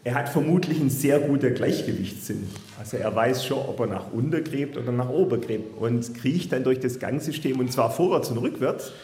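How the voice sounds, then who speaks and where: A man's voice: medium pitch, animated, normal speed, few pauses, dark tone. A man speaking as a zoology expert, explaining matter-of-factly in simple words for children. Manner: matter-of-fact, uses simple comparisons. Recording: roomy, echoing sound.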